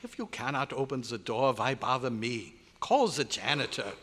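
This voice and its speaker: A man's voice: medium pitch, big pitch swings, moderate pace, fairly bright tone. Man playing irritable doctor, echoey hall. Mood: exasperated. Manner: exasperated, emphatic, theatrical. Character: irritable, domineering German male scientist, a doctor. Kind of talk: old-time radio drama recreation. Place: hotel convention meeting room. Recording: echoey hall, live stage performance.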